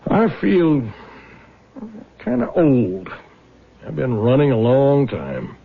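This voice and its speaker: An actor playing a weary, troubled older father in a radio drama, with a halting, folksy way of talking. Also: mid-range voice, big pitch swings, vocal fry, slightly rough, phone-quality sound, heavily acted. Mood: weary, subdued. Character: weary aging widowed father, troubled and honest. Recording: thin band-limited old radio recording.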